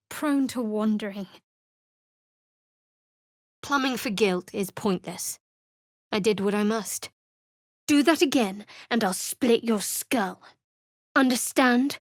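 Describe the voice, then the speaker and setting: An actress playing a guarded, devout young woman cleric of a dark goddess in a fantasy video game, with a measured, wry delivery. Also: on the high side, dry recording, clean studio sound. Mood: cold, threatening.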